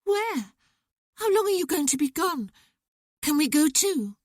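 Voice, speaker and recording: A narrator voicing a lively young girl who fires off eager questions in a high voice in an audiobook. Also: unhurried, no echo, clean studio sound. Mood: alarmed. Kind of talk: audiobook character voice.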